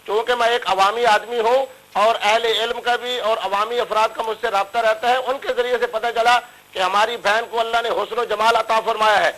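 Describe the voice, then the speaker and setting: A man's voice: very high voice, a bit bright, poor audio. A man with a Pakistani Urdu accent, a cleric guest in a heated TV debate, speaking in formal, preachy religious diction.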